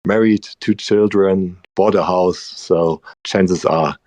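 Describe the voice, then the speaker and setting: German-accented man, dry and relaxed. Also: sits low, takes it slowly, no echo. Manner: hesitant, many restarts and fillers, laughs easily. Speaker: guest professor. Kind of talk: casual conversational interview.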